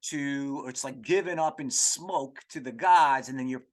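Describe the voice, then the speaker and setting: A man hosting a comedy podcast, rambling through an irreverent, profane monologue in a halting, digressive way. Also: medium pitch. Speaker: podcast host.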